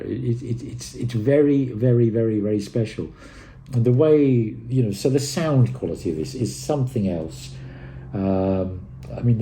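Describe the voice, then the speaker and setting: Low, very mellow-voiced man rambling enthusiastically. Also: low-quality recording. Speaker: hi-fi music reviewer. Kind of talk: casual unscripted review to camera.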